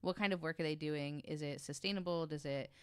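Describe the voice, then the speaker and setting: Low-pitched woman with a clear, smooth voice. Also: brisk. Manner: informal, frank. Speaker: podcast panelist. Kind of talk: casual explanatory podcast talk.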